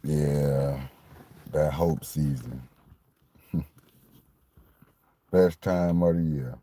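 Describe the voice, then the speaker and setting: A man's voice: extremely low pitch, very slow, warm tone. A man in his late forties from Miami, talking casually and repetitively about his football team. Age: 40s. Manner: repetitive, meandering, conversational. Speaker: sports fan commentator. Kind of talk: casual sports talk monologue.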